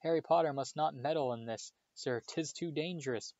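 A man's voice: normal speed, darkish tone, dry recording, lightly acted. A man voicing a small, servile male house elf who pleads tearfully and calls everyone sir. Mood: frantic. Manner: squeaky, pleading, keeps saying sir. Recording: slightly dull dry recording.

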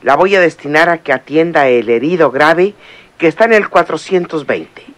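A woman's voice: sits low, lively intonation, moderate pace. An actress playing a stern, scheming female head nurse who gives cold orders, in an old radio drama. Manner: authoritative, cold. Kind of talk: 1960s radio drama acting.

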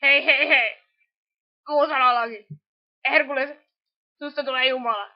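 A woman's voice: high-pitched, fairly even intonation. A woman doing improvised comic dubbing of a cartoon as an amateur. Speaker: amateur fandub voice actor. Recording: dull lo-fi home recording.

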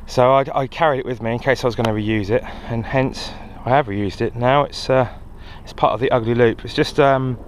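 A man's voice: mid-range voice, a little fast, few pauses. A British man chatting casually and ramblingly to viewers as a hobbyist host, muttering to himself outdoors. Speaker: amateur radio hobbyist and video host. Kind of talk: casual hobby vlog chat. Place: outdoors in a garden. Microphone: handheld action camera. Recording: action camera outdoors, steady background noise.

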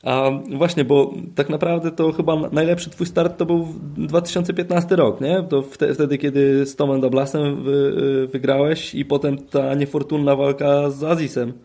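A man hosting a casual podcast interview, asking relaxed, prompting questions. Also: a little high, normal speed, few pauses. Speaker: podcast host.

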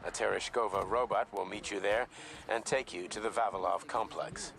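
An actor playing a calm, fatherly visionary scientist and boss who speaks in a measured, grand way in a video game. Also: on the low side, gravelly, crowd noise. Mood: fatherly, commanding.

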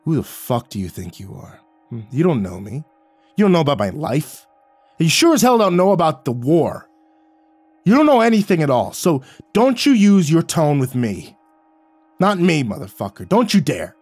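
A man voicing a bitter, boozy old male war veteran who talks in a gruff, low, sneering way over faint music. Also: steady tone, normal speed, darkish tone, no room sound. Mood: menacing.

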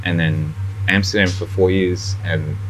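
A man in his thirties, born in India and raised in Australia, talking as a guest in a casual chat at a restaurant, animated and full of fillers. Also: low voice, measured pace, slight background noise, rough recording. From born in India, grew up in Australia. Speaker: guest artist. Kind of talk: casual conversation. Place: restaurant, indoors.

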